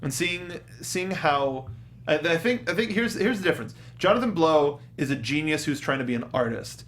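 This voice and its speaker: A man talking casually as a show panelist, telling things in an animated way and acting out quotes. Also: fairly high pitch, moderate pace. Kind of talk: casual film and game discussion.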